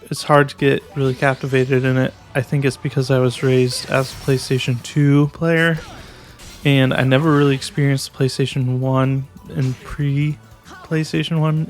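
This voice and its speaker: A young man giving a casual video game review, hesitant and restarting his sentences often. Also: mid-range voice. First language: English.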